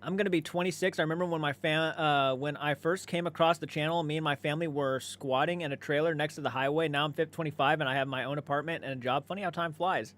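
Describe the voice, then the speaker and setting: A man with a slightly high voice hosts a casual livestream. He talks in a laid-back, conversational way, reading out comments and replying to them, and swears freely as he goes. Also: fairly even intonation, fast talker, few pauses, a bit bright. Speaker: livestream host.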